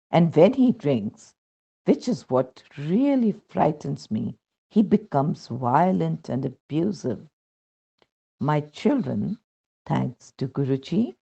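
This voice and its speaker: A woman with an Indian accent narrates a devotional testimonial in a low, mellow voice. She takes her time, reading in a measured storytelling way with expressive rises and falls. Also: creaky, slightly rough. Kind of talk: scripted devotional narration, read aloud. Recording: dull, muffled lo-fi sound.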